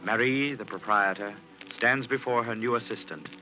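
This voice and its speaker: An actor playing an ominous mystery host, narrating a melodramatic tale in 1940s radio style. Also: male voice, medium pitch, normal speed, bright, forceful tone, rough recording. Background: music.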